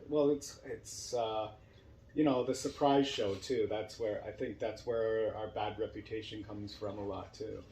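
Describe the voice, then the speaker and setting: A man with a warm, dark-toned voice chats informally, like a host telling stories to a friend. The room adds clear echo, and a faint noise sits behind him. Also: lowish voice, fairly even intonation, unhurried. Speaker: podcast host and actor. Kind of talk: casual chat. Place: room in a home.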